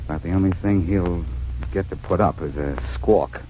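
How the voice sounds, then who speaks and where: Guy doing cool wisecracking tough detective, old 40s radio show, deepish, clipped, bit of hiss. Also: normal speed, dark tone, natural voice. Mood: cool, wry. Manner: breezy, clipped, confident. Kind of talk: 1940s radio crime drama acting. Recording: muffled, noisy 1940s radio recording.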